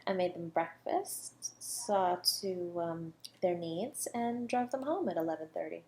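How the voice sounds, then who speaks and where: A woman acts out a self-assured female academic suspected of murder in an intimate audio roleplay. She is coy and teasing, speaking with measured composure. Her manner is condescending and flirtatious, as if she is always a step ahead of the detective. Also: lowish voice, warm tone, a little hiss or hum. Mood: composed, coy. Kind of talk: flirty audio roleplay, one-sided dialogue.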